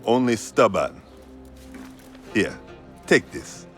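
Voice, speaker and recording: Deep, animated rebel leader. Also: male voice, takes it slowly, soft background music, heavily acted. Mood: determined. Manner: commanding, wry, blunt. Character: bold, stubborn, sardonic rebel leader, a grown man. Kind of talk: video game cutscene drama.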